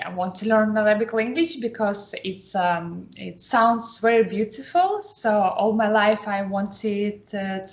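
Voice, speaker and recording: Hesitant Russian-accented woman on a video call. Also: medium pitch. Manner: hesitant, searching for words, laughs often. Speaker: language student. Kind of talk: online lesson conversation. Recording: echoey room over video call.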